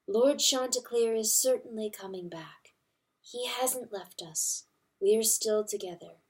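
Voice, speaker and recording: A woman doing a soft-spoken motherly hen. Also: a little high, measured pace, far from the mic, natural voice. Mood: soothing. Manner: soft, murmuring, soothing. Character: gentle motherly hen, soothing.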